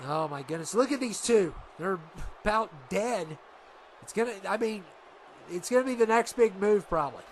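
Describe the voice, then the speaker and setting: A middle-aged man commentates a video game wrestling show with a stuffed-up nose. His voice sits rather high, and he rambles excitably, piling filler on filler. A faint background hum runs under everything. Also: moderate pace.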